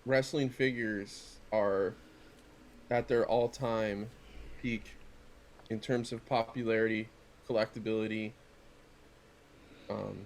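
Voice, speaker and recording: Low-voiced man chatting over background noise. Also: steady tone, slow pace, pauses often. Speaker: podcast co-host and wrestling figure collector. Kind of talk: casual chat in an unboxing video.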